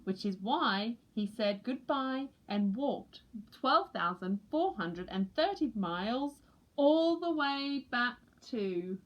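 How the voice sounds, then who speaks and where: A woman reading a children's picture book aloud with expressive narration. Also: a little high, slow pace, rough recording. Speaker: storybook reader for a school.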